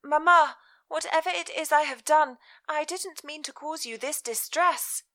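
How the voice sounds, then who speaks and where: A woman's voice: high-pitched, unhurried, pushed and bright, pitched above the performer's own voice, exaggerated voice. A woman voicing an anxious young Victorian daughter, pleading with her parents.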